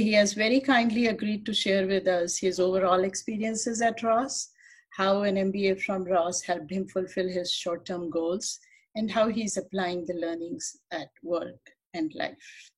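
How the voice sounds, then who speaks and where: A woman's voice: medium pitch, steady tone, a little slow, husky, low-quality recording. A woman hosting an interview, reading a formal introduction and asking polite questions. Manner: polite, formal, reads from a script. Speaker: interviewer, host.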